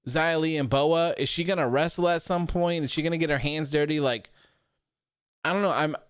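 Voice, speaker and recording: A man's voice: mid-range voice, fairly bright tone, clear, resonant tone, no echo, clean studio sound. A man in his early thirties, hosting a livestream and chatting loosely, reading viewer comments aloud with lots of likes and ums. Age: 30s. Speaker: podcast host reading live chat. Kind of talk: casual livestream chat.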